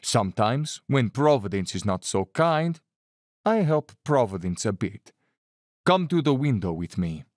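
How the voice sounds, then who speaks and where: A suave, sweeping aristocratic villain general. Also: male voice, fairly low pitch, big pitch swings, darkish tone, no room sound, studio quality. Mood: smooth, menacing. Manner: urbane, bland, condescending, theatrically unhurried. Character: suave, cold-blooded aristocratic Cossack general, fanatical big-game hunter. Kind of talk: audio drama acting.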